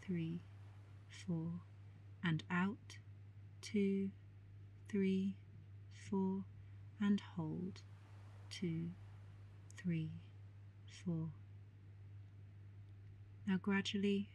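A woman guiding a meditation in a calm, soothing, measured way with long pauses and a soft, breathy voice. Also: mid-range voice, low-quality recording. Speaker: meditation guide. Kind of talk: guided meditation narration.

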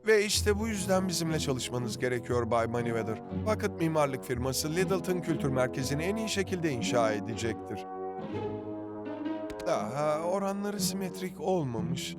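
A man's voice: fairly high pitch, animated, normal speed, close to an everyday voice. A man voicing an earnest, slightly goofy adult architect dad in a dubbed children's cartoon. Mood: earnest pitching. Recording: loud music behind the voice.

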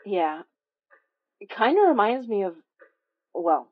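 An actress playing an anxious, earnest woman investigator, speaking haltingly in an audio drama. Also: mid-range voice, big pitch swings, dry recording. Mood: subdued, uneasy. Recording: dry, slightly dull close recording.

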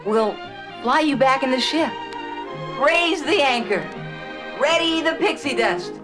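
With music behind, a woman plays a bold adventurous boy from a storybook record. The voice is high and brash, declaiming each line with cocky confidence. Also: lively intonation, measured pace. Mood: triumphant, commanding. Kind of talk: children's read-along storybook acting.

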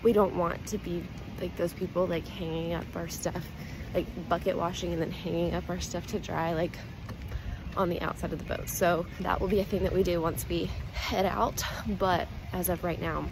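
A woman's voice: fairly low pitch, level tone, moderate pace. A young woman vlogging casually into a phone held at arm's length, talking while she walks outside. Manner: chatty, filler "like", walking while talking. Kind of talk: casual vlog chat. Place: outdoors at a marina and in a car. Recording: noisy outdoor phone recording.

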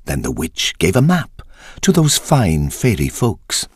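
Very deep, husky male storyteller. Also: huge pitch swings, a little mellow. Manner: theatrical, deliberate, ominous. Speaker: story narrator. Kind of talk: dramatic scripted storytelling in verse.

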